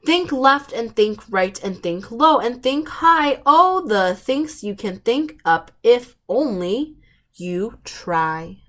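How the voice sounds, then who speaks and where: A woman's voice: on the high side, sing-song, slow, a bit bright. A woman hosting storytime, reading picture books aloud in simple, emphatic phrases and putting questions to young listeners. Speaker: storytime host reading to children.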